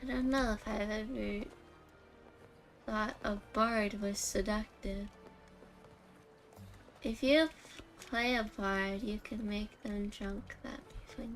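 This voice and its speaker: Female game streamer, casual and jokey, musing out loud at a slow pace, light music in the background. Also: mid-range voice, fairly even intonation, poor audio. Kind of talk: casual live game commentary.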